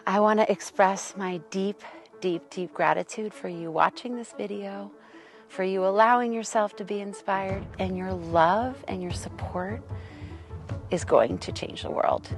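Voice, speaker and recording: Woman organizer, mid-pitched, earnest appeal to the audience, soft music bed. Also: unhurried, creaky. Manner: warm, urging and thankful. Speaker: organizer addressing viewers.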